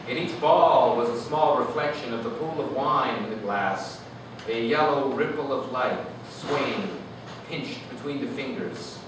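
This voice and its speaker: Man, author reading aloud, lyrical, deliberate, expressive, mid-pitched, distant and very echoey live recording. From Canada. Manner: animated, lyrical, deliberate phrasing. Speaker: author reading own work. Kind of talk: expressive literary reading to an audience. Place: live reading event in a room. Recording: echoey noisy room, distant mic.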